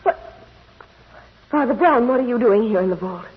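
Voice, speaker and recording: An actress playing a remorseful young engaged woman who has been caught out, pleading and halting, in 1940s American radio drama style. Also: on the high side, lively intonation, noisy background, natural voice. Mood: startled. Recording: muffled tinny vintage radio broadcast.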